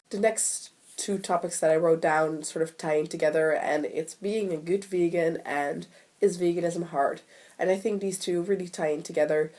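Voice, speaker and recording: A young woman with a deep, low-pitched voice talks casually to her camera, still a bit nasal as she gets over a cold. She rambles and hedges with frequent ums and restarts. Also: darkish tone. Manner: rambling, self-deprecating, many ums and restarts. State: recovering from a cold, slightly nasal. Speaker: vlogger.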